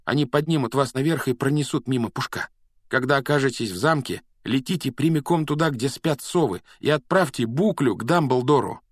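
A man's voice: mid-range voice, no echo, studio quality, voice pushed up, natural voice. A man voicing a brave, modest young schoolboy wizard in an audiobook, earnest and plain-spoken.

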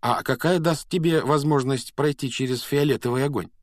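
A man's voice: mid-range voice, normal speed, dry recording, clean studio sound, pitched above the performer's own voice, close to an everyday voice. Man reading as a serious, brave schoolboy wizard. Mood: questioning. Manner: earnest, plain-spoken.

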